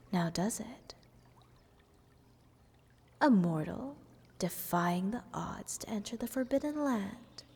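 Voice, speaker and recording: A woman voices a possessive goddess of stars in a fantasy audio drama. She speaks very slowly and teasingly, with a breathy softness that slips into whispers. Her condescending words ring out in a long, hall-like reverb. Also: mid-range voice, exaggerated voice. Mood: mocking pity. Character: playful, selfish, possessive goddess of stars toying with mortals. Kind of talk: scripted fantasy audio roleplay addressed to the listener.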